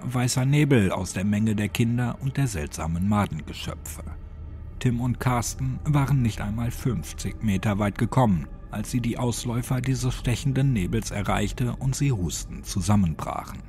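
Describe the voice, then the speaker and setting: A man's voice: mid-range voice, moderate pace, mellow. A man narrating a horror story as a dramatic audiobook reading. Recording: music behind the voice. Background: music.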